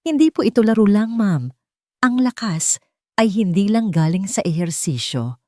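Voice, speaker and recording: A woman with a Filipino accent voicing a wise, polite old housemaid in an audiobook story, calm and encouraging. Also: mid-range voice, moderate pace, no room sound, studio quality, pitched above the performer's own voice, lightly acted. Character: wise, gentle, respectful old housemaid grandmother.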